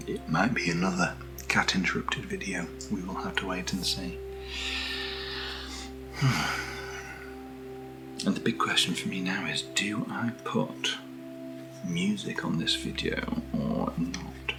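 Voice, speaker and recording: A British man speaking softly and breathily in casual ASMR style, unhurried and gently rambling as he hosts. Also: deep voice, fairly even intonation. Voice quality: breathy, whispery. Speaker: host of ASMR unboxing videos. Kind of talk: soft-spoken ASMR, casual chat. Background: underlying music.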